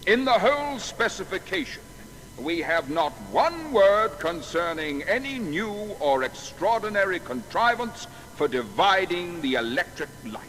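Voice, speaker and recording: A man's voice: high-pitched, very animated, measured pace, a bit bright, exaggerated voice. A man playing a haughty, dismissive scientific writer, formal and pompous, in a dramatised audio biography. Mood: pompous dismissal. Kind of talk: dramatised reading of a Victorian article. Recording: long hall reverb, music behind.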